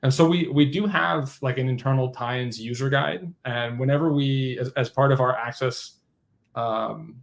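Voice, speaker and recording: A man with a noticeably creaky, mid-pitched voice gives conversational answers as a guest engineer. He explains technical work informally, thinking his way through each point aloud. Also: normal speed. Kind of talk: webinar interview answers, conversational tech talk.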